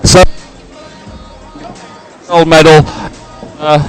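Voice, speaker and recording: A man presenting a live sports broadcast, ad-libbing commentary in a halting, hesitant way. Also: a little high, flat delivery, faint music behind, some chatter behind. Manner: hesitant, halting ad-libbed delivery. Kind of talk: live unscripted event commentary.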